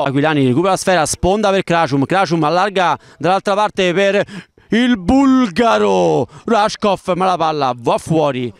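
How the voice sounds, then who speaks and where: High-pitched excitable male football commentator. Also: creaky, smooth. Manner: excitable, emphatic, drawing out key words. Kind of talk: live play-by-play sports commentary.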